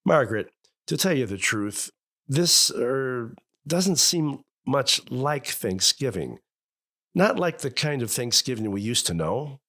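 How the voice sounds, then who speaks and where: A man's voice: mid-range voice, big pitch swings, takes it slowly, a bit bright, exaggerated voice. An actor playing a middle-aged, sentimental and easily exasperated husband and father in an old radio family comedy. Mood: hesitant, earnest. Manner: blustering, hesitant when sincere.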